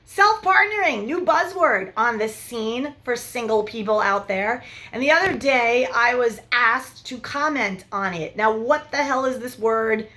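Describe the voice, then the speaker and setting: A woman in her forties, a love coach giving frank, animated big-sister advice straight to camera. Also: fairly high pitch, a little slow. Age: 40s. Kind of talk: direct-to-camera advice vlog.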